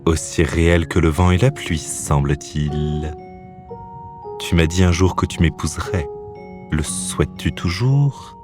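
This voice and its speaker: A man voicing a gentle, courtly serpent prince in a fairy-tale cartoon, speaking formally and solemnly. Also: sits low, measured pace, music in the background. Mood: solemn, tender.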